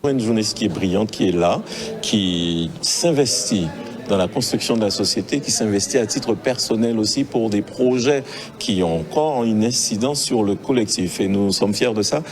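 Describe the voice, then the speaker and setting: A man's voice: fairly low pitch, moderate pace, a crowd in the background. A man speaking as a local official, emphatic and repeating his phrases. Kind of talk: formal speech to press.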